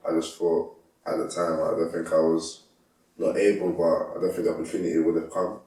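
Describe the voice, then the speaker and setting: A young man, a football interview guest who talks in a soft, laid-back way with plenty of "like". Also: low-pitched, little pitch movement, fairly quick. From England. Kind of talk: casual interview. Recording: roomy sound from a distant mic.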